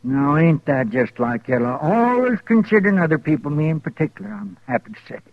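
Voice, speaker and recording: An actor playing a lovestruck, stubborn rancher over sixty with a rural American West accent in an old-time radio western. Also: male voice, a little high, brisk, no room sound, natural voice. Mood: fond. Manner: folksy, indignant. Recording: dull vintage radio recording.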